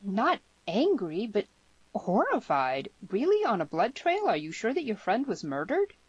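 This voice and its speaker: Audiobook role: female reader as a forthright, excitable young woman, pitched up and exclamatory, dull dry recording. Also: moderate pace, dry recording. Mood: horrified. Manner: emphatic, exclamatory, questioning. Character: spirited, forthright young woman, quick to react. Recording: slightly muffled lo-fi audio.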